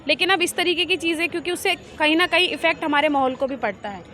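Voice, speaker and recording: A woman's voice: high-pitched, fast, bright, forceful tone. A woman working as a street reporter, asking passers-by questions in a conversational way. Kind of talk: vox pop interviewing. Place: outdoors on an urban street.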